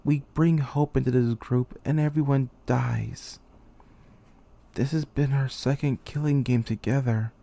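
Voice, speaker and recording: Male, dark and creaky mid-range voice, for a weary, embittered student leader in an intense amateur drama monologue. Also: medium pitch, fairly even intonation, a little slow. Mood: bitter, disillusioned. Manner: brooding, intense, swearing. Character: weary, bitter young male student commander trapped in a killing game. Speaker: aspiring voice actor.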